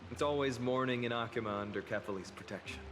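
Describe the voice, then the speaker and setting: A man playing a restless, earnest young fantasy hero in a video game, speaking softly, politely and reflectively. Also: medium pitch, fast talker, noisy background, lightly acted. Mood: pensive, restless. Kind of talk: video game character dialogue. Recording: hall reverb, clear music behind.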